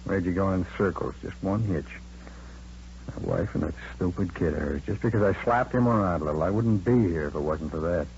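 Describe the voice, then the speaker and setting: An actor playing a defiant, blustering American crook who justifies himself, in the manner of 1950s radio crime drama. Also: male voice, sits low, a little fast, darkish tone. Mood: bitter, boastful. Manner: blustering, evasive, self-justifying. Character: defiant, cornered male car-theft racket mastermind. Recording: dull vintage radio recording, faint hum.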